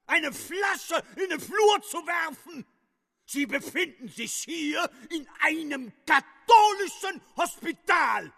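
An outraged military hospital inspector in a radio play, his strained voice pitched extremely high and cutting bright. He barks in slow, drawn-out bursts. A long hall reverb trails behind him. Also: very animated, creaky, full character voice. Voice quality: shrill, strained shouting. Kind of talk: radio drama acting.

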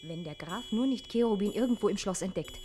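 A woman's voice: medium pitch, fairly quick, warm tone, faint music behind, lightly acted. A woman playing an elegant, resolute countess in a theatrical radio play, refined and conspiratorial in manner.

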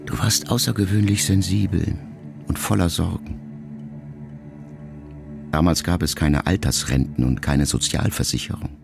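Deep gravelly male audiobook narrator. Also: moderate pace, long pauses, over music. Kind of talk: literary audiobook reading.

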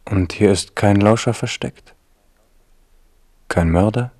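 A man's voice: on the low side, takes it slowly, dark tone, dry recording. An actor playing a captive young king in a radio play, wary and ardent, speaking in intense questions and pleas. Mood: wary, tender. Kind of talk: 1970s German radio play acting.

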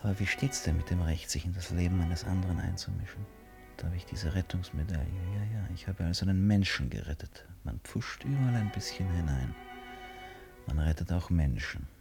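A man's voice: low voice, brisk, gravelly, music in the background. An actor in a German radio drama playing a restless, brooding man musing to himself in fragmented questions. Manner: musing, fragmented self-questioning, shifting between murmur and outburst. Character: restless, brooding male stranger lost in his own thoughts.

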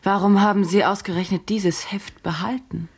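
An actress playing a probing journalist who narrates a radio drama in a measured, brooding manner. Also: female voice, mid-range voice, fairly even intonation, husky. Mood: questioning. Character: probing female journalist, inward and unsettled, reading and narrating.

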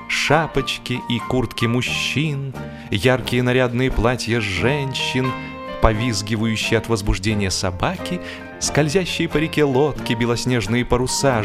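A man reading a humorous story as an audiobook narrator, in an expressive, measured storytelling manner. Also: mid-range voice. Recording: music behind the voice. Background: instrumental music.

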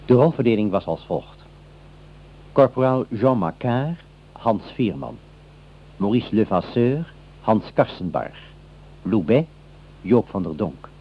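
A man announcing radio drama credits from a script in a formal, measured way. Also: lowish voice, animated, slightly rough. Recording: dull, faintly humming old radio recording.

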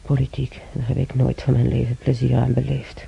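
An actor playing an elderly, weary man in a radio play, musing to himself haltingly with sighs and mutters in a gravelly voice. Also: medium pitch, monotone. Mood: musing, resigned. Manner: halting, muttering, drifting into whispers. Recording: dull recording with faint noise.

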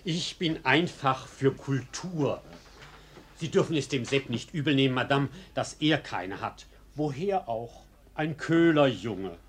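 A man's voice: medium pitch, animated, measured pace, a little hiss or hum, close to an everyday voice. An actor playing a sly male arsonist in a radio play who poses as a polite, ingratiating dinner guest. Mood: sly, wheedling. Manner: ingratiating, mock-polite, insinuating. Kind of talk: German radio play acting.

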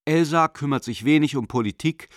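An actor playing a zealous, coldly formal German banker in a radio play, reading a letter in a measured, self-righteous, declamatory way. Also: male voice, a little high, no room sound, clean studio sound, lightly acted. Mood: coolly self-justifying.

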